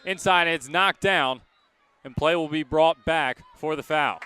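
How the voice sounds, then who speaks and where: A man calling live college lacrosse as a radio play-by-play announcer, in crisp short present-tense phrases, outdoors at a sports field. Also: a little high, a little slow, pushed and bright, slight background noise.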